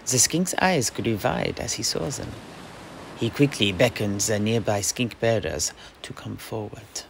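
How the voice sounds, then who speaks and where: Creaky-voiced male fantasy storyteller. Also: on the low side, measured pace, slight background noise. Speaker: host, storyteller. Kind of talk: in-character fantasy audio play storytelling.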